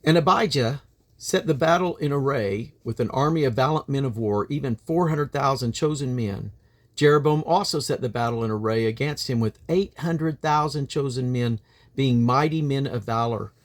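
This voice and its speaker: A man reading from the King James Bible in a solemn, deliberate manner, with emphatic phrasing and drawn-out endings. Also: mid-range voice. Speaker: Bible reader.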